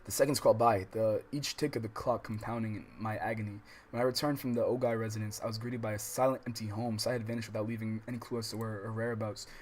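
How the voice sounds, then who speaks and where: A man's voice: lowish voice, fairly even intonation, fast talker, hardly any pauses, slight background noise. An American man hosting a casual let's-play livestream, reading story text aloud with stumbles and reacting in slangy, profane talk. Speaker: livestream host.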